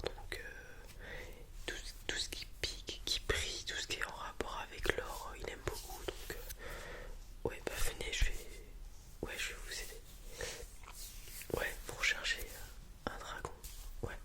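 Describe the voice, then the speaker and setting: A man speaking in a soft breathy whisper, improvising an informal ASMR roleplay explanation with halting, hesitant delivery. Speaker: ASMR roleplayer playing a dragon breeder.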